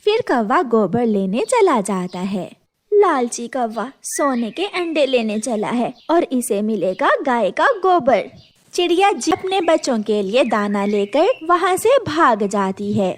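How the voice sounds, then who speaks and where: A woman tells a scripted story for children. Her voice is high, with a very animated, wide-ranging melody that carries the narration. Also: unhurried.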